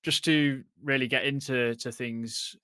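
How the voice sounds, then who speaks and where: A man's voice: mid-range voice, fairly even intonation, a little slow, pushed and bright, clear, resonant tone, dry recording, studio quality. A man from England hosting a football podcast in a chatty way, with plenty of fillers and restarts. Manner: chatty, fillers and repeated words. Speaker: podcast host. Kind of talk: casual podcast chat.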